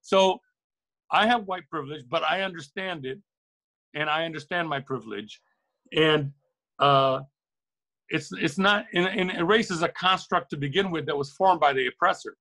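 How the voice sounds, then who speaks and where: A man's voice: on the high side, normal speed, pushed and bright. A middle-aged man from Lebanon speaking earnestly and reflectively as an interview guest, often repeating and rephrasing words for emphasis. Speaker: interview guest, record shop owner. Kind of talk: reflective, opinionated interview talk.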